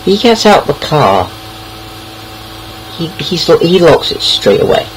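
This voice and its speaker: A man's voice: on the high side, very animated, takes it slowly, slight background noise, rough recording. A young British man casually telling anecdotes in a rambling, hesitant way, repeating words as he goes. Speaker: online storyteller.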